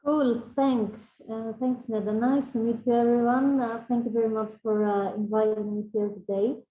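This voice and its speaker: A woman's voice: on the high side, steady tone, moderate pace, mellow, reverberant, dull audio. A woman from Greece introducing herself politely on an online panel, with frequent uh fillers.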